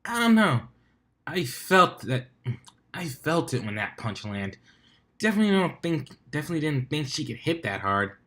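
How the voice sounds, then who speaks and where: A brash pegasus mare of a stunt flyer, played by a man reading fan fiction. The voice sits a little higher and gossips in excitable, slangy bursts, like a storyteller who cannot wait to share. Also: moderate pace. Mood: amused.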